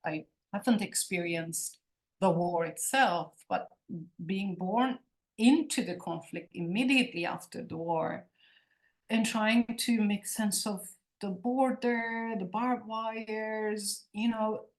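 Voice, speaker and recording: A woman's voice: medium pitch, slow pace, low-quality recording. A woman in her forties from Cyprus, a native Turkish speaker with a Turkish Cypriot accent, giving an online lecture in a conversational way. She speaks earnestly and emphatically, often repeating words as she searches for them. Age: 40s. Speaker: guest lecturer. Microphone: video call.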